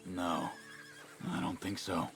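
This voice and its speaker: An actor playing a guarded outlaw scout in a Western video game, terse and low-key. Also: male voice, fairly even intonation, takes it slowly, creaky, over music, full character voice. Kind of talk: video game cutscene acting.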